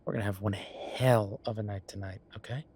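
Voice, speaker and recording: A man playing an affectionate, teasing chef boyfriend in an intimate roleplay audio, talking in a conversational, reassuring way with a husky voice. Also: fairly low pitch, steady tone, fairly quick, darkish tone, a little hiss or hum, lightly acted. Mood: gentle, encouraging. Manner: conversational, reassuring, playfully bantering. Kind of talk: intimate one-sided boyfriend roleplay acting.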